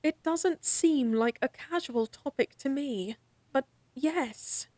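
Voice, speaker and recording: Young court musician lady, high voice, formal, guarded, crisp, dry close mic. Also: takes it slowly, a little mellow, no echo. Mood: reserved. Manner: crisp, formal, slightly exasperated. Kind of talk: fantasy audio drama acting.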